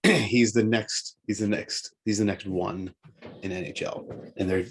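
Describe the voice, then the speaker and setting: A man's voice: lowish voice, steady tone, unhurried. A man co-hosting a casual sports show, giving his opinions while thinking aloud. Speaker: co-host of a sports talk show.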